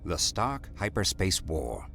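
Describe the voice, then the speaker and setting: Casting: dignified, stern mentor played by a man, gravelly, swooping low pitch, formal. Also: sing-song, takes it slowly, pushed and bright, a little hiss or hum, full character voice. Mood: formal, dry. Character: stern, dignified male Jedi master and mentor.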